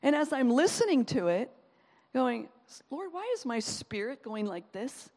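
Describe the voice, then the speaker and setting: A woman's voice: high-pitched, unhurried. Woman preaching in an echoing hall. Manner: animated, conversational preaching with quoted asides. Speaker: pastor. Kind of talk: sermon.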